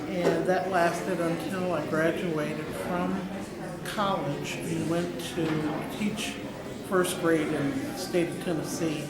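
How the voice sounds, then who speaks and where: A woman in her sixties from Milwaukee, a pre-K teacher, introducing herself haltingly with many ums. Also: deep voice. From Milwaukee, Wisconsin. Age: 60s. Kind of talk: self-introduction to camera. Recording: roomy hall with crowd noise behind.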